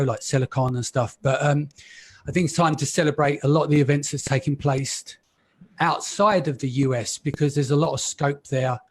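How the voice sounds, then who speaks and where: A man hosting a live podcast panel, chatty and relaxed with frequent ums. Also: mid-range voice. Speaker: podcast host.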